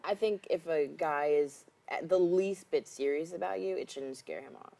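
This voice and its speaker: A woman's voice: low voice, moderate pace, gravelly, dark tone. A woman who is a talk-show guest, giving frank and emphatic opinions in a casual discussion.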